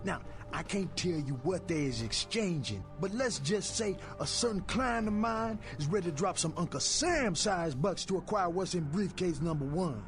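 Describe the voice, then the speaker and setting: Sleazy street fence in a game, high and slick, streetwise sales patter. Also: male voice, animated, moderate pace, hardly any pauses, music in the background. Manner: slangy streetwise hustler patter. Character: fast-talking sleazy heavyset male street fence. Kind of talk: video game character dialogue.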